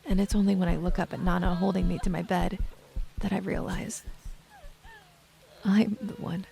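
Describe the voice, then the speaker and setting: Woman acting a gravelly, soft-voiced lonely young woman. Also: fairly low pitch, level tone, normal speed, rough recording. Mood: distressed, tense. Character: lonely, shaken young woman patient narrating her own story. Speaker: narrator and lead character. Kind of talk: first-person audio drama narration.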